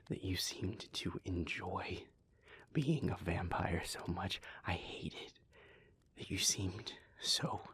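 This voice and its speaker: Man acting as a wounded, bitter vampire, husky voice. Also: low voice, a bit bright, full character voice. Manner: intense, confessional, swearing. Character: wounded male vampire, bitter, defensive, emotionally raw. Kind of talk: dramatic audio roleplay acting.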